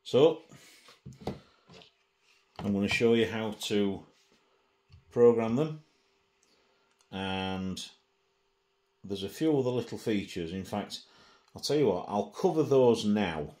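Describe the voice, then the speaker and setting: A man's voice: lowish voice, lively intonation, takes it slowly, long pauses, dark tone. A middle-aged man giving a casual hands-on gadget demonstration, presenting indoors at a table.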